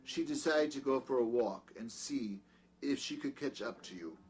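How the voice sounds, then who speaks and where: An actor playing a middle-aged, scheming doctor in an audio drama, courteous and old-fashioned but quick to command. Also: male voice, mid-range voice, lively intonation, a little hiss or hum, natural voice, low-quality recording. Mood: feigned innocence. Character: peculiar, scheming doctor, outwardly courteous, domineering to his servant.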